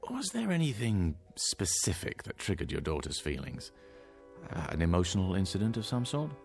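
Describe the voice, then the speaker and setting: A man's voice: sits low, wildly swooping pitch, moderate pace, gravelly, a little mellow, soft background music, full character voice. A man playing a patronising doctor in a radio drama, with hesitant, condescending reassurance. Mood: probing. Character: smooth, patronising male doctor at a futuristic clinic.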